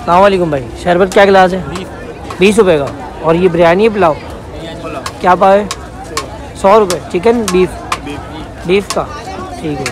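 A man's voice: high-pitched, normal speed, pauses often, crowd noise. A man with a Karachi Urdu accent vlogging in a busy outdoor street market, chatting with sellers and asking prices. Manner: chatty, friendly greetings to sellers. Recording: noisy crowded market.

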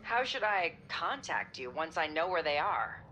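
A woman's voice: low-pitched, very animated, measured pace, muffled recording, a little hiss or hum, exaggerated voice. A woman playing a tough young special operative in a video game, terse, guarded and dry. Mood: cool, dry. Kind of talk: video game cutscene acting. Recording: loud game music behind.

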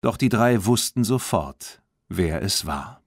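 A low-voiced man narrating a children's audiobook. His delivery is expressive and very melodic, following a script. The recording is dry and close. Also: slow pace, vocal fry, dry recording.

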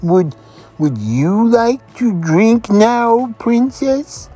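A storyteller voicing a courteous male frog who speaks slowly and politely in a children's story. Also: mid-range voice, sing-song, dark tone, husky, faint music behind, voice pushed up, heavily acted. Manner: slow, drawn-out courteous speech. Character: polite, patient male frog with a rhyming warning. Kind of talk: storybook character voice.

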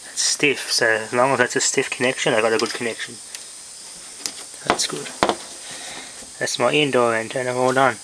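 A man's voice: medium pitch, fairly even intonation, moderate pace, long pauses. An Australian man talking casually and offhand to viewers while he works with his hands, muttering a little to himself. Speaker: hobbyist video maker. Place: indoors at home, working by hand. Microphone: handheld camera microphone. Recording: noisy handheld camera audio with clicks.

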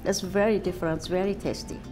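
A woman's voice: on the low side, steady tone, quick pace, over music. An older woman from Ethiopia with an Ethiopian accent, a restaurant owner talking earnestly in a sit-down interview in her restaurant dining room. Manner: warm, earnest, with simple non-native phrasing. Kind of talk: casual interview.

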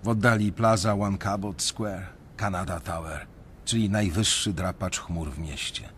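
Low-voiced, brooding young banker. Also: male voice, a bit bright, slight background noise. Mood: brooding, measured. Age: young adult. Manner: measured, introspective storytelling. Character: young male banker, brooding, conscience-stricken narrator. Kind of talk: first-person radio drama narration.

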